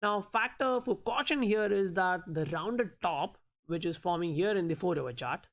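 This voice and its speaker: This is a woman with an Indian accent, speaking English as a second language. She walks through price charts in a low voice with a touch of vocal fry. Her explanations are loose and conversational, full of fillers. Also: lively intonation, normal speed. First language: not English. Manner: explanatory, many fillers like "you know" and "uh". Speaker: crypto chart analyst, channel host.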